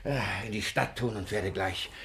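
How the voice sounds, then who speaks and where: An actor playing a domineering, gullible old miser of a father in a German radio comedy, theatrical and husky, muttering and exclaiming. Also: fairly low pitch, unhurried, fairly bright tone. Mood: gleeful, commanding. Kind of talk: classic German radio play comedy acting.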